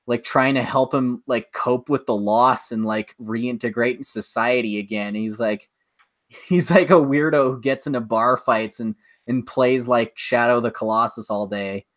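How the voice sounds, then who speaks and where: A man's voice: medium pitch, normal speed, a bit bright. A man co-hosting a podcast in casual chat, ranting loosely with swearing and plenty of filler words. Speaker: podcast co-host.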